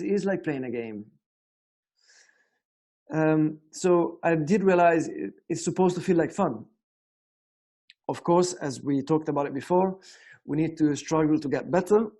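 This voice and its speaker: Italian-accented man with a mellow voice. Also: fairly high pitch. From Italy. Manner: hesitant, many fillers and restarts. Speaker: guitar teacher. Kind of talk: casual spoken lesson.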